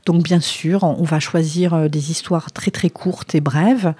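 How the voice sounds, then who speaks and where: Mellow woman with a southwestern French accent. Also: sits low, fairly even intonation, measured pace, slightly rough, no echo. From southwest France. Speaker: storyteller and writer, interview guest. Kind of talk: conversational interview answers.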